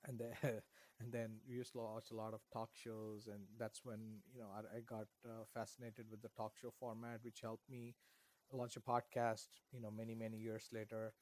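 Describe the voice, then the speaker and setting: Middle-aged guy from india, kannada first language, lowish voice, rambles with lots of uhs and restarts. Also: completely flat delivery, normal speed, a little mellow. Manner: rambling, with frequent fillers and restarts. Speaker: author guest. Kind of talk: casual interview answers.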